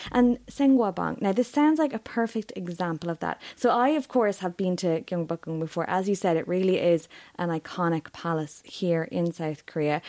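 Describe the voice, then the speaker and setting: A woman's voice: fairly low pitch, no echo. A woman hosting a radio segment, chatting with a guest and asking friendly questions. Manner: warm, chatty interviewer with small restarts. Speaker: radio host. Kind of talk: radio interview.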